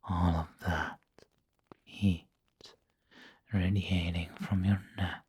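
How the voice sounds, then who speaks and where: A man performs a refined, seductive male vampire lord in an intimate ASMR roleplay. The voice is deep and extremely breathy, mostly whispered. He speaks very slowly, with formal, doting courtesy. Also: level tone, a little mellow, no echo, exaggerated voice. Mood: seductive, tender. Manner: slow, intimate, formal wording.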